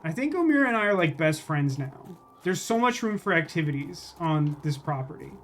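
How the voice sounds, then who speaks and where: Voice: mid-range voice, very animated, brisk, a little hiss or hum. Streamer, mid-range voice, off-the-cuff gameplay commentary, reasoning aloud with joking asides. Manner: thinks out loud, joking asides. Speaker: game streamer. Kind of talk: casual gameplay commentary, reading dialogue aloud.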